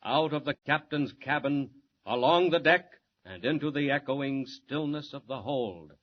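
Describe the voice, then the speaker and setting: A man narrating a 1940s radio drama in a measured, dramatic storytelling manner. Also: mid-range voice. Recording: dull old radio recording with clear hiss.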